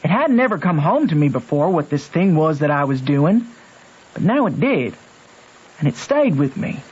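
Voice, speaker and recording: An earnest backwoods boy with a high voice and a Southern American drawl. He talks in folksy, rustic grammar as he narrates and acts in a radio play, wrestling with his conscience. The sound is a dull old radio recording. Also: sing-song, moderate pace, a little mellow, breathy edge. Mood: troubled. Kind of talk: old-time radio drama, first-person narration and dialogue.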